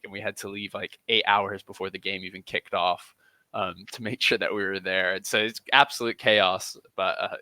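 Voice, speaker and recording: Bright, low-pitched man in conversation. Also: dry recording. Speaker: interview guest, football journalist. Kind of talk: casual interview conversation.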